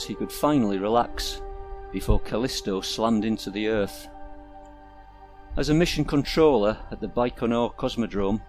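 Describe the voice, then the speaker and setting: A man reading a short science fiction story aloud in a steady, measured way. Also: on the low side. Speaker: story reader. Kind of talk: scripted narration. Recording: reading over music.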